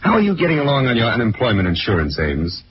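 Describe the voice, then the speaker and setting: An actor playing a smug, smooth-talking crooked defense lawyer, condescending and sardonic, in a 1940s radio drama. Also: male voice, medium pitch, huge pitch swings, quick pace. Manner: condescending, sardonic, drawn to mockery. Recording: muffled, noisy 1940s radio recording.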